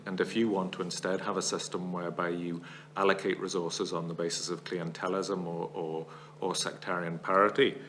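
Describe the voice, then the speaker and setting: A man giving formal testimony as a witness before a parliamentary committee, laying out long arguments in a measured, analytical way. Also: deep voice, fairly bright tone, a little hiss or hum.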